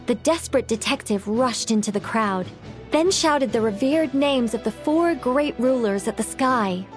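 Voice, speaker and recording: A woman's voice: on the high side, lively intonation, a little slow, over music. A woman playing an eccentric, theatrical novelist in a video game, speaking formally and with great self-assurance. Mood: dramatic, lofty.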